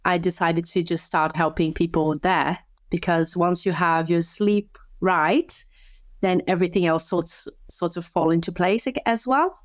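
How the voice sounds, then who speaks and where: A woman from Brazil speaks in a smooth, resonant, slightly low voice, chatting as a podcast guest. She talks casually and restarts her sentences often. The sound is close and dry, with no room around it. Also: a little slow, no room sound. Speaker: podcast guest, sleep health coach. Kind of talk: casual interview chat.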